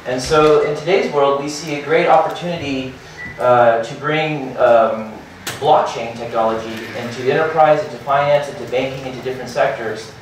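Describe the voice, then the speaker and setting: A man in his thirties giving a hurried startup presentation at a meetup, full of fillers and restarts. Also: medium pitch, steady tone, a little hiss or hum. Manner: hurried, filler-heavy, restarts and repeats words. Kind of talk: conference talk. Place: meetup room with projector and seated audience. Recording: very echoey room from far off.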